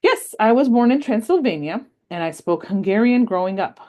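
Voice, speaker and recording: A woman's voice: medium pitch, animated, moderate pace, mellow, voice pushed up, natural voice. Woman voicing an old grandma, wise, patient, playful, reassuring, varied intonation, storybook. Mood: fond. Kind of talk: read-aloud storytelling.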